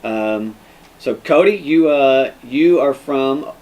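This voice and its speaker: A man with an American accent hosting a casual interview as a police sergeant, in an informal, halting way. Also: mid-range voice, animated, a little mellow. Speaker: podcast host, police sergeant. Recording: noticeable background noise.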